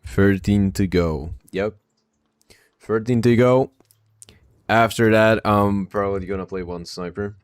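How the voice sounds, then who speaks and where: A man's voice: on the low side, measured pace, pauses often, clear, resonant tone, dry recording, clean studio sound. A man from Czechia with a Czech accent, chatting casually with viewers during a live game stream, reading their messages aloud and mumbling short asides. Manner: relaxed, mumbling asides, repeats short phrases.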